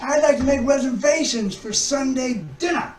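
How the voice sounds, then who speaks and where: Woman acting flustered old gentleman, high and distant. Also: normal speed, close to an everyday voice. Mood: insistent. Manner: stammering, muttering, exasperated. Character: flustered, forgetful, pompous old gentleman on the phone. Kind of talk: comic readers' theater sketch. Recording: roomy, distant online video call.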